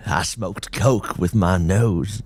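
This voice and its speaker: A man's voice: deep voice, slow, breathy edge, heavily acted. A man voicing a dazed, paranoid drugged man in an audiobook story, disoriented and pleading like a child.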